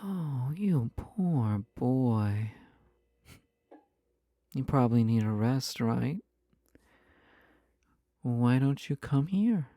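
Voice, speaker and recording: An actor voicing a flirty, possessive husband in an intimate roleplay audio, teasing the listener with coy, mock-innocent pick-up talk. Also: male voice, mid-range voice, expressive, extremely slow, pauses often, dark tone, dry recording. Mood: coaxing, flirty.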